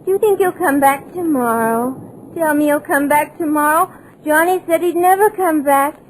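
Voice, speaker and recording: A woman's voice: sits high, normal speed, a little hiss or hum, natural voice, rough recording. An actress playing a deluded housekeeper who waits by the sea for her lost husband, in 1940s radio drama style. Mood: pleading. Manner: dreamy, haunted, pleading. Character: deluded grieving housekeeper woman waiting by the sea.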